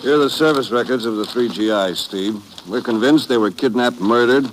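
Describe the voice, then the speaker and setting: A man's voice: mid-range voice, brisk, lightly acted. An American man playing an earnest army intelligence major in a 1950s radio drama, formal and military. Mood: earnest, official. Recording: slightly noisy old radio recording.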